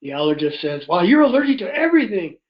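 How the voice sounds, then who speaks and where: Higher-pitched man on a dull call recording. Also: fast talker. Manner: animated retelling with quoted dialogue. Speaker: host telling his personal health testimony. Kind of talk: informal personal storytelling on a conference call. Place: conference call. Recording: dull, muffled conference-call audio.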